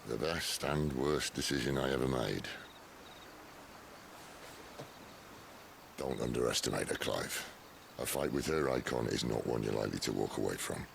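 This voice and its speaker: A man's voice: very deep voice, a little fast, slight background noise, heavily acted. An actor playing a weary male outlaw leader in a game cutscene, dry and sardonic. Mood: warning. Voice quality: gravelly.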